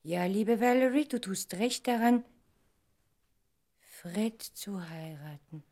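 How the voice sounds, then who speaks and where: An actress playing an emotional, impulsive Broadway star of twenty-nine in a radio play, with expressive, theatrical delivery. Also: female voice, medium pitch, slow. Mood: hesitant, astonished. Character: 29-year-old Broadway star, emotional, impulsive, devoted woman.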